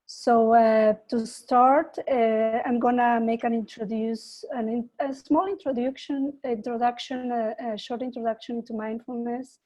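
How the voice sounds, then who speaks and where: Slow calm woman from peru leading a meditation, mellow voice, video call. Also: on the high side. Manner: slow, soft guiding voice with gentle invitations. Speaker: mindfulness and yoga teacher. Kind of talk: online presentation and guided meditation.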